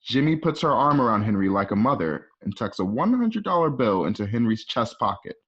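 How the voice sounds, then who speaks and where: A slightly muffled, lo-fi home recording of a man narrating a radio drama. He has a mid-pitched voice and reads scene directions, sometimes tripping over a phrase and fixing it. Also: very animated, brisk, fairly bright tone, breathy edge. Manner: stumbles and corrects himself while reading. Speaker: narrator reading screen directions.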